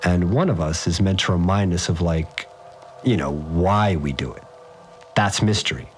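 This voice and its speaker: An actor playing an awed, rough-spoken working man who recounts strange events in a slangy, rambling audio diary for a fiction podcast. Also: low-pitched, moderate pace, soft background music, natural voice. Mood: earnest, unsure. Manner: slangy, rambling, unsure of his words. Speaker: fixer, a manual worker.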